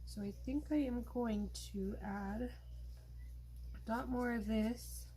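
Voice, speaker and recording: A woman's voice: a little high, slow pace. Chatty woman showing pastel blending. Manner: relaxed, chatty instructions while working. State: losing her voice. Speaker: art tutorial host. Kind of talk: casual step-by-step craft demonstration.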